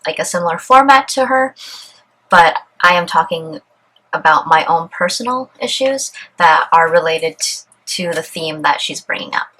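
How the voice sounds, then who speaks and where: Casting note: young woman, Cantonese first language, calm and earnest, lowish pitch, bright tone. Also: a little hiss or hum, rough recording. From Canada. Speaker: vlogger. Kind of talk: reflective personal vlog, partly read aloud.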